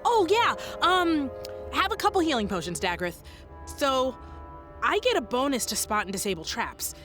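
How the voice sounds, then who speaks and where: An excitable young gamer. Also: female voice, high voice, normal speed, soft background music. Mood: excited. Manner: eager, excitable. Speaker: player in a tabletop game.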